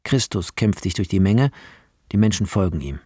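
A man narrating a radio play as a series of film scene directions, measured and clipped, with a creaky voice. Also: deep voice, lively intonation, warm tone.

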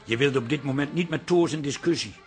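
A man's voice: medium pitch, fast talker, natural voice. A man acting in a radio comedy drama as a middle-aged, bemused husband, wry, confiding and grumbling. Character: bemused, dry-humoured husband from a farming family.

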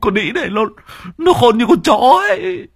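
A man voicing a cowardly, stammering old man, whining and shrieking high. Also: very animated, normal speed, breathy edge, full character voice. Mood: terrified.

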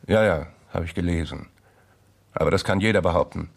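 An actor in a 1960s German radio drama playing a gruff old colonel, speaking in a curt, formal, commanding manner. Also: male voice, low-pitched, fairly quick, full character voice. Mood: brusque, suspicious. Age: older.

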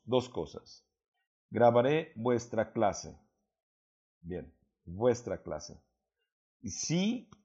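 A man's voice: lowish voice, warm tone. A man with a Mexican accent teaching a language lesson, going over a student's writing with patient explanations and frequent hesitations. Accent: Mexican Spanish. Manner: patient, explanatory, with many fillers and restarts. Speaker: Spanish teacher. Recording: dull lo-fi recording.

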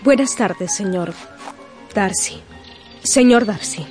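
A proud young gentlewoman in a radio drama, earnest and easily flustered. Her voice sits at a middle pitch, with soft music behind it. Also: expressive, takes it slowly. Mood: flustered, polite.

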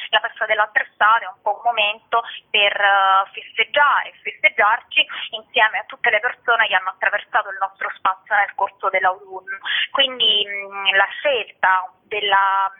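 A woman being interviewed as an event organiser, presenting a programme. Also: mid-range voice. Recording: tinny phone line.

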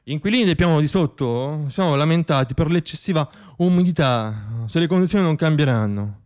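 A man performing a scripted radio theatre monologue as storyteller, with dramatic, deliberate phrasing and pauses. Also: a little high, lively intonation, darkish tone.

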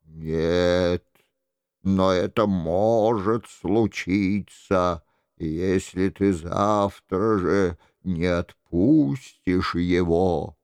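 Мужчина-чтец озвучивает суровый, торжественный, глухой бестелесный голос, который требует покаяния. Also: male voice, low voice, a little mellow, smooth, no room sound, voice pushed down, exaggerated voice. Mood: wrathful, grave. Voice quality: hollow, muffled. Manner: slow, grave pronouncements. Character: stern, solemn, wrathful disembodied male voice demanding repentance.

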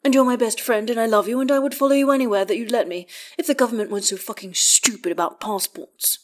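A woman's voice: a little high, quick pace, lightly acted. A woman voicing a theatrical, guarded man who speaks firmly and archly to a close friend. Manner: arch, drawling, firm.

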